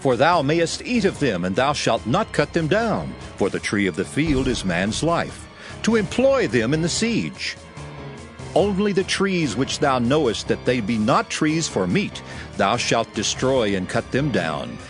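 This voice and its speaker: Solemn male scripture narrator, expressive intonation. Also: medium pitch, faint music behind. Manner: solemn, formal, measured.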